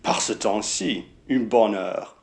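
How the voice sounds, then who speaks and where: A man playing an unhurried coachman in a French radio play, laconic and folksy. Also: lowish voice, darkish tone.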